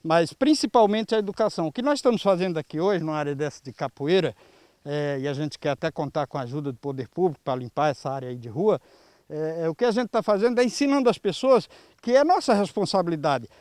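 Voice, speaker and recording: A Brazilian man in his early sixties answering an interview earnestly and haltingly through a face mask, into a handheld microphone. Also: a little high, expressive, a little mellow, no echo. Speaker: environmental volunteer leader. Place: outdoors in a grassy clearing. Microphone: handheld reporter microphone.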